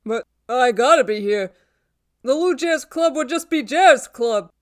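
A woman's voice: high voice, lively intonation, a little slow, no echo, clean studio sound, voice pushed up. A woman voicing a cocky young man who wheedles and pleads with his ex in an audiobook. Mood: pleading. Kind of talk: audiobook character voice.

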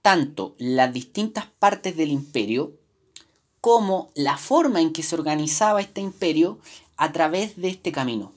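A woman's voice: low-pitched, animated, measured pace. A woman with a Chilean accent giving a live history lesson, explaining from slides with many fillers and restarts. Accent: Chilean Spanish. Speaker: history teacher. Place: indoors, teaching from slides.

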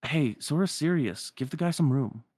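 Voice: mid-range voice, lively intonation, normal speed, a little mellow, dry recording, voice pushed up, lightly acted. A performer voicing a brash, good-natured teenage boy sidekick with a playful, slangy way of talking in a game story.

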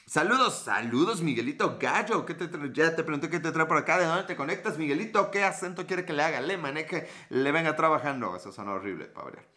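A man with a Mexican accent chats casually on a livestream, greeting viewers by name and joking sarcastically. His voice sits a little high and sounds bright and forceful. He swears freely and talks loosely with his audience. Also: expressive, quick pace, few pauses. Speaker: livestream host.

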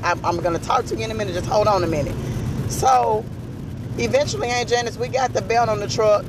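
Hoarse, raspy woman, earnest testimony, noisy rumbling backdrop. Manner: earnest, storytelling, faith-filled. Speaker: podcast speaker. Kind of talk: personal testimony addressed to an aunt.

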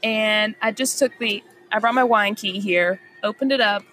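A woman's voice: medium pitch, moderate pace, bright, forceful tone, soft background music. Woman podcast co-host, playful banter, recorded outdoors on a patio. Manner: playful, teasing, laughs often. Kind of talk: casual wine-tasting chat.

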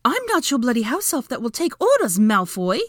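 A woman voicing a sharp-tongued, exasperated young witch in an audiobook, with cutting, sarcastic delivery. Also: fairly high pitch, big pitch swings, a little fast, dry recording, studio quality, voice pushed up. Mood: angry. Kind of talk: dramatic audiobook character dialogue.

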